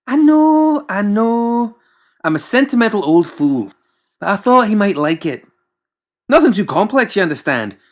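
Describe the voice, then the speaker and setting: A man voicing an exasperated, excitable mad doctor in an audiobook, snappish, fussy and defensive. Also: sits high, normal speed, voice pushed up. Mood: apologetic, chatty. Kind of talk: audiobook character voice.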